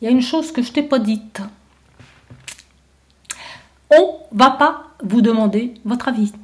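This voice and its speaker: A woman's voice: a little high, normal speed, a little mellow, natural voice. A woman voicing an arrogant, bullying American envoy who lectures in a self-assured, emphatic way. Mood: threatening.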